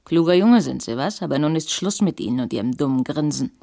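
A woman's voice: deep voice, expressive, fast, gravelly, warm tone, full character voice. A woman playing a young, brazen female gangster in a German radio crime drama, giving snappy, taunting, slangy commands. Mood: mocking, commanding.

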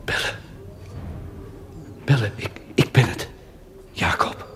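An actor playing a desperate, devoted man who pleads urgently in a breathy half-whisper in a radio drama. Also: takes it slowly, sharp tone. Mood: anguished, tender.

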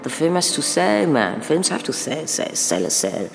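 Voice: mid-range voice, sing-song, measured pace, gravelly. A performer playing an anxious, searching actor in an insistent, questioning spoken-word monologue, full of repetition. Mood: agitated, searching. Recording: long reverberant hall.

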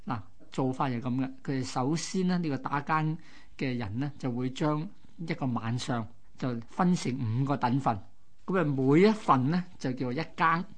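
Medium-pitched Hong Kong Cantonese male host. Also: normal speed. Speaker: radio co-host. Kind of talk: conversational history storytelling.

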